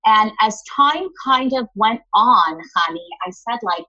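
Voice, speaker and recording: A woman's voice: medium pitch, bright, forceful tone, dull audio. A woman who runs a linen store, chatting in a podcast interview and often calling the listener honey. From Los Angeles area.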